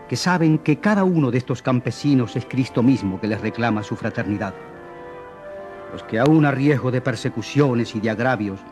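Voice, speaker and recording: A man's voice: medium pitch, darkish tone, lightly acted. An actor playing a fatherly, firm bishop who testifies with emphatic oratory in a radio drama. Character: solemn male bishop of a rural diocese, fatherly, firm.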